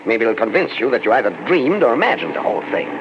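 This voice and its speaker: An actor playing a calm, questioning professor in a 1940s radio drama. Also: male voice, medium pitch, fast talker, lightly acted. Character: calm, puzzled old male scientist professor. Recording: dull old radio recording, hissy.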